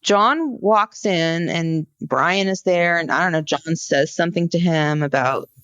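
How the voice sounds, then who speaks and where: A woman co-hosting a podcast, explaining a case conversationally in an informal, halting storytelling way. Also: on the low side, fairly bright tone, smooth, dry recording, lo-fi sound. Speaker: podcast co-host. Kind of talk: conversational true-crime case discussion.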